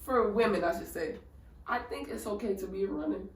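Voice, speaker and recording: A woman's voice: mid-range voice, expressive, brisk, slight background noise. Playful woman laughing a lot as she chats, echoey, mic far off. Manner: playful, laughs often while talking. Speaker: guest. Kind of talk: casual chat. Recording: echoey room, mic far off, faint ambience.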